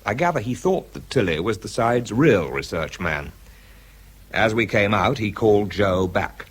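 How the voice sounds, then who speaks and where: A man playing a troubled British scientist who narrates a radio drama in a confiding, measured, husky voice. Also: fairly low pitch, sing-song, exaggerated voice. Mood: wry. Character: weary, troubled British male scientist narrating his story. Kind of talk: dramatised first-person narration.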